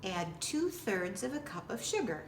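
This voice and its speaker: A woman with a medium-pitched voice explains practical steps in simple, deliberate phrases. She sounds set back from the mic in a very roomy, echoing space. The delivery is that of a demonstration. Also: very animated. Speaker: librarian giving a baking demonstration. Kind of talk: step-by-step instructional narration. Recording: distant roomy echo with background noise.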